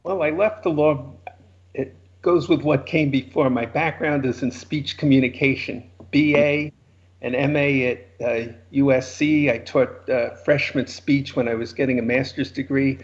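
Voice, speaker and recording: A man's voice: medium pitch, fairly even intonation, unhurried. A man in his sixties with a New York accent, a former lawyer telling dry, self-deprecating stories in an interview. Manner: wry, anecdotal, self-deprecating humor. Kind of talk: conversational storytelling interview. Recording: slightly muffled lo-fi audio.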